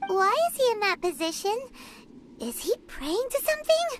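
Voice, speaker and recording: A woman voicing a small, excitable, timid, childlike female fairy companion in an anime-style game, exclaiming as she talks. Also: extremely high pitch, moderate pace. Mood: nervous. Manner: refers to self in third person, exclaiming. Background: game music.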